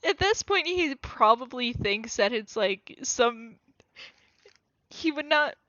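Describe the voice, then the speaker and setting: A woman's voice: fairly high pitch, expressive, slow, bright, forceful tone, no echo, highs cut off. A woman chatting with her roleplay group, teasing the others in giggly banter. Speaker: roleplay player. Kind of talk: casual chat between players.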